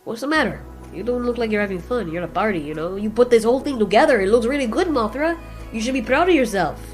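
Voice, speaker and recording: A woman's voice: a little high, animated, quick pace, soft background music, close to an everyday voice. A woman voicing a jittery, awkward male flying kaiju who rambles and stumbles over his words. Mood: coaxing. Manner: rambling, fumbling, hesitant.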